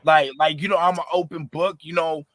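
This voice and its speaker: Raspy man, thirties, animated slangy talk, slightly high pitch, bright tone. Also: fairly quick, a little hiss or hum. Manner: animated, passionate, slangy, lots of fillers. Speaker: rapper, interview guest. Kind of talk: casual interview talk.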